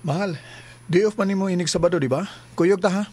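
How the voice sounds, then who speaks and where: A man's voice: high voice, fast talker, over loud music, lightly acted. A man acting as a devoted, protective young boyfriend in a Cebuano radio drama, with a Cebuano Filipino accent. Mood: affectionate. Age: young adult.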